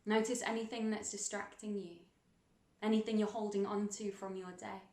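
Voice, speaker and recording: A woman leading a guided meditation in a gentle, soothing, unhurried manner, leaving long pauses between instructions. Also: mid-range voice, level tone. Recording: roomy, echoing sound.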